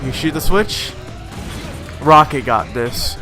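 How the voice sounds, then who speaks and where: A slightly hoarse man giving casual, rambling commentary over a video game playthrough, with frequent filler words. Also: on the high side, expressive, slow pace. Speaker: let's play host. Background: game music.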